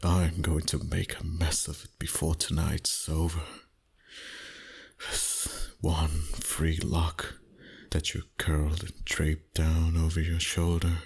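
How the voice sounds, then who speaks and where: A man playing a seductive, dominant vampire boss in an intimate ASMR roleplay, breathy and husky, often whispering, with a slow teasing delivery. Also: very deep voice, monotone, exaggerated voice.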